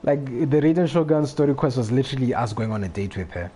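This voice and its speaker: A man's voice: mid-range voice, lively intonation, fast talker, warm tone, soft background music. A man talking casually as a live game streamer, rambling and often repeating his phrases. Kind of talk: casual gaming livestream chat.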